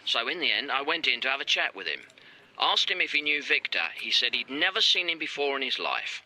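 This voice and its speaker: A man's voice: medium pitch, very animated, fairly quick, pushed and bright, heavily acted, rough recording. An actor playing a dutiful junior police detective in a radio drama, reporting to his chief in a matter-of-fact manner. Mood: businesslike.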